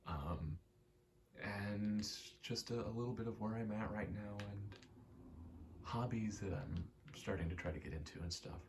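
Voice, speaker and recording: A man's voice: lowish voice, a little slow, noisy background. A young man giving a casual, self-deprecating ASMR ramble, swearing freely. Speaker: ASMR video creator.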